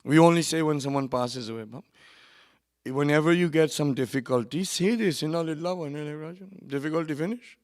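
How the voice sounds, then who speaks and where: A man giving a sermon at a memorial gathering, moving between quiet, conversational asides and loud, emphatic lines, with quick runs of recited Arabic verse. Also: fairly high pitch. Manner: swings from soft asides to loud emphatic preaching. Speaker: religious speaker at a memorial gathering. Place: hall at a community centre.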